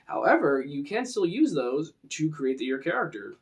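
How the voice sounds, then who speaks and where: A man's voice: fairly high pitch, big pitch swings, normal speed. A man presenting an informal tutorial on role-playing to camera, talking in a casual, explanatory way.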